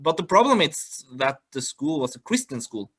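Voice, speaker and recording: A Norwegian man speaking English as a non-native, a guest in a video-call interview, talking haltingly with many fillers as he searches for words. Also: a little high, sing-song, fairly bright tone, slightly rough. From Norway.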